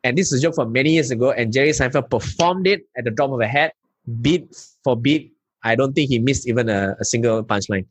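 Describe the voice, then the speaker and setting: A Malaysian-accented man, a stand-up comic, spins animated stories in a relaxed interview and now and then drops a local "lah". His voice has a husky grain, and the recording is dry and close. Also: medium pitch, a little fast, no room sound. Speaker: stand-up comedian, guest. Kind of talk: casual interview storytelling.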